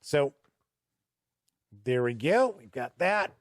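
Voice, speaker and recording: Higher-voiced man giving a casual product walkthrough. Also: sing-song, slow pace, no room sound. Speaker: host of a tabletop game review show. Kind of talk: unscripted review commentary while paging through a product.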